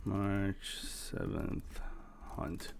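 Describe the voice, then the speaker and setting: A man's voice: low-pitched, level tone, drawn-out words, creaky, darkish tone, slight background noise. A man hosting casual reaction commentary, explaining game characters in an easygoing way. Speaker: host presenting game characters.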